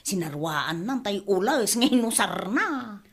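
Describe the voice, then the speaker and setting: Woman acting slow, lilting Ilocano village gossip. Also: mid-range voice, expressive, slightly rough, heavily acted. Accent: Filipino, Ilocano. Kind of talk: comedy radio drama acting.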